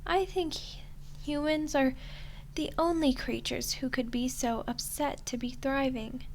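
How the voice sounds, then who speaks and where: A woman playing a slow, smug female archdemon. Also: high-pitched, gravelly, darkish tone, slight background noise, full character voice. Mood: smug, teasing. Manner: mocking, condescending, unhurried. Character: smug, teasing female archdemon toying with a human ruler. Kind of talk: roleplay ASMR acting.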